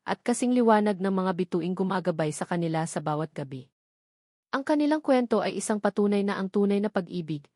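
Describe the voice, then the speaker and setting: A woman narrating a scripted romance audiobook in a measured, sentimental storytelling manner. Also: mid-range voice, darkish tone, dry recording, clean studio sound.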